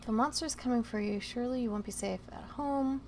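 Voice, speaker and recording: A woman's voice: on the high side, fairly quick, darkish tone, slight background noise. A woman game streamer giving casual commentary, talking to herself as she plays. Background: game music.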